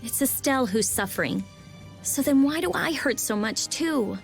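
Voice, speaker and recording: A fiery teenage girl mage in a video game, sharp-tongued and emotional. Her voice is very high and lively, swinging widely, with faint game music behind. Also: normal speed, creaky, rough recording. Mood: aching. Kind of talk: game voice acting.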